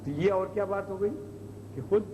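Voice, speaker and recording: Male Islamic preacher, high voice, explains step by step with rhetorical questions, noisy old cassette sound. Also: moderate pace, phone-quality sound. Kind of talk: sermon, explaining religious stories. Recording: old noisy cassette, long hall reverb.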